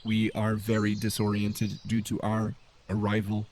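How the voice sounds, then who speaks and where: A man's voice: fairly low pitch, completely flat delivery, a little slow, a little mellow, slight background noise. A man voicing a wry, formal male AI companion in a sci-fi audiobook, with a dry and polite manner.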